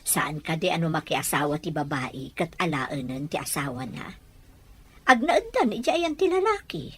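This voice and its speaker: A woman's voice: deep voice, sing-song, moderate pace, husky, exaggerated voice. A woman acting a protective, motherly older aunt who probes and worries, in a radio drama with an Ilocano accent. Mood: worried, confiding.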